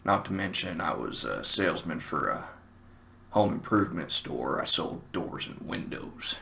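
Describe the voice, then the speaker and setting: Low, gravelly Southern storyteller guy. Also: animated, a little slow, pushed and bright. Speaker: storyteller, show host. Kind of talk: casual comic anecdote storytelling.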